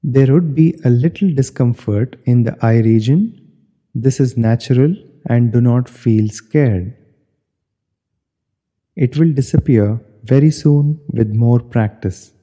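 A man with a very soft, dark tone leads a guided meditation. He speaks slowly in measured, instructional phrases with space between them. His voice carries a long, hall-like reverb. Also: medium pitch.

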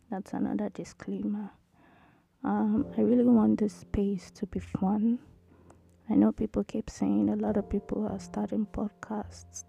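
A woman in her thirties with a tiny, soft voice hosting her own podcast, talking hesitantly with many ums. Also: mid-range voice, vocal fry. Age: 30s. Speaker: podcast host. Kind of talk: casual solo podcast intro.

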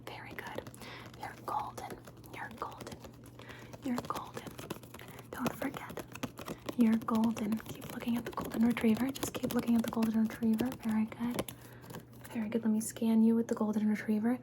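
A woman's voice: on the high side, flat delivery, moderate pace, long pauses. A woman performing an ASMR personal-attention roleplay, soothing and playful, repeating words and short phrases over and over.

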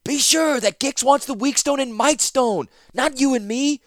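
A man voicing a frightened, self-doubting woman warrior who shouts her fears in a storybook reading. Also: very high voice, measured pace, no room sound, clean studio sound, voice pushed up. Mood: anguished. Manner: shouting, anguished.

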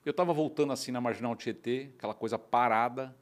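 A middle-aged man from São Paulo, telling his story as a guest in a casual conversation, animated and often restarting. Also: medium pitch, quick pace. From São Paulo, Brazil. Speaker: guest entrepreneur.

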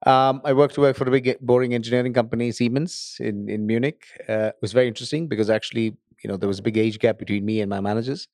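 A man's voice: mid-range voice, steady tone, fast, few pauses, a little mellow, dry recording, studio quality. A middle-aged man talking casually as a podcast guest, rambling with many restarts. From grew up in Tanzania and Nigeria. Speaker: podcast guest, data center company founder. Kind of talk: casual interview talk.

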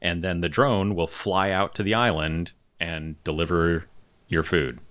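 A man's voice: deep voice, a little slow. A man hosting a casual podcast, chatting easily and reading out listener topics and news items. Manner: relaxed, conversational, reads out topics. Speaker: podcast host.

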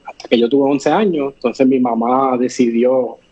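A man's voice: medium pitch, fairly even intonation, moderate pace, a little mellow, breathy edge, muffled recording. A man who grew up speaking English, chatting as an interview guest and rambling with lots of fillers and restarts. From born in Chicago, raised partly in Puerto Rico. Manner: rambling, many fillers and restarts, laughs often. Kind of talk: casual live interview chat.

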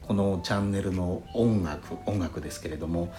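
A man's voice: sits low, moderate pace. A male podcast host chatting politely as he reads out listener letters, with formal, courteous phrasing. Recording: roomy echo, faint background music. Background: soft lo-fi flute jazz music.

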